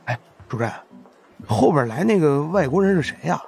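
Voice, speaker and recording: Nosy, cheeky fat sidekick, audiobook; high-pitched, gravelly edge, whiny and exaggerated. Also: male voice, expressive, moderate pace, darkish tone, faint music behind, full character voice. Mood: scornful, nosy. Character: cheeky, nosy fat young man, junior investigator.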